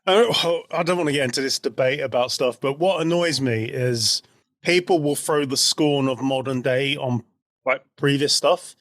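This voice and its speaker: A British man with a medium-pitched voice talks on a podcast he co-hosts. The sound is dry, with no room around it, and he argues his points in loose, casual conversation. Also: normal speed, fairly bright tone, dry recording. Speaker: podcast co-host. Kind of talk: casual opinionated podcast chat.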